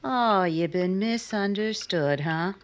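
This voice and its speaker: An actress playing a guarded, bitterly proud lonely woman in a romantic 1950s-style radio drama, with measured, pointed, probing delivery. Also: mid-range voice, slight background noise, close to an everyday voice. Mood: probing, sardonic.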